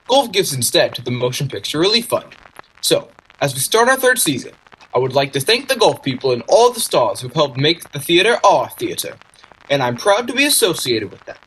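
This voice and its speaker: A man with a husky voice giving a formal speech in the style of 1940s radio. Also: fairly high pitch, a bit bright, heavily acted, low-quality recording. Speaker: guest speaker, charity fund president.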